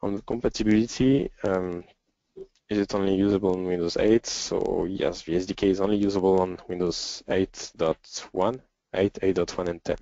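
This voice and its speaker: A low-pitched man with a French accent takes questions in an informal webinar. His delivery is halting, with frequent pauses. The audio is dry and dull, like a lo-fi online session. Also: steady tone, dark tone, no room sound. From France. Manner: hesitant, halting, with frequent pauses and throat clearing. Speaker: software developer and webinar presenter.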